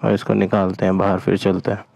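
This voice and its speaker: A man's voice: sits low, completely flat delivery, fast talker, creaky, a little mellow. A man chatting informally to viewers in short remarks, as a motorcycle vlogger. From India. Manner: informal, talking to viewers in short remarks while busy with the bike. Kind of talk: casual motovlog chat. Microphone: action camera setup with helmet. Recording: outdoor vehicle and street noise.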